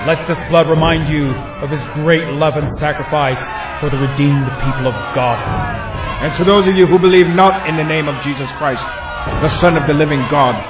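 A man's voice: on the high side, lightly acted. An actor playing a wrathful male prophet proclaiming judgment in biblical style. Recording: echoing, with loud music and crowd.

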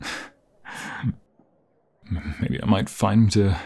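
A man playing an anxious, tender young vampire fiancé in an intimate ASMR roleplay, halting and emotional, often dropping into a breathy whisper. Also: lowish voice, darkish tone, heavily acted. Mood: anxious, distressed.

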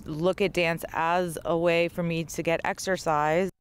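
A man's voice: on the high side, little pitch movement, a little slow, smooth. A man speaking in a short demo audio clip.

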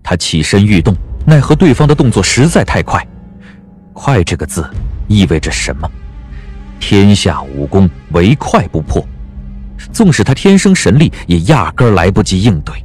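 A man narrating a dramatic audiobook as a measured, expressive storyteller. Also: on the low side, faint music behind.

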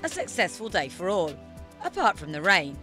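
A woman reporter narrates a television feature with a lively, swooping delivery. She sounds like a presenter in her thirties, with light music underneath. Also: lowish voice, normal speed.

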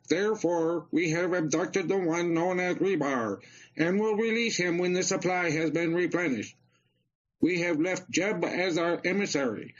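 A man playing a formal, coolly demanding alien emissary in comedy radio theater, speaking in a stiff, measured way. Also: on the high side. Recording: dull, lo-fi transmission sound.

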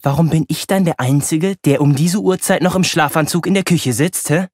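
A man narrates a children's story in a slightly high voice, recorded dry and close. His reading is expressive and wry, as a boy telling about his own family. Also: moderate pace, no room sound. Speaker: audiobook narrator.

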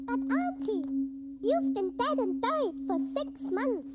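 A performer playing a small, polite but blunt little girl in an animated film. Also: unhurried, over loud music, exaggerated voice. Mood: matter-of-fact. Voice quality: very high childlike voice. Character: small, plucky, matter-of-fact little girl. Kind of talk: animated film character acting.

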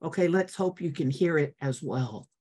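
A middle-aged woman teacher giving an informal online talk, unhurried and thinking aloud with fillers as she goes. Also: low-pitched, lively intonation, warm tone, a little hiss or hum. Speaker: teacher and guest speaker.

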